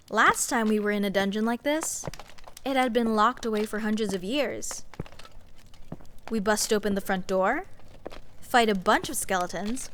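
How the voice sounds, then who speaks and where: A woman's voice: mid-range voice, fairly bright tone. A woman playing a snarky, nitpicking sorceress in a comedic fantasy audio roleplay, sarcastic and exasperated as she rambles. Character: snarky, nitpicking sorceress adventurer, scoffing at absurdity. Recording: clear background ambience noise, clicks. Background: dungeon ambience.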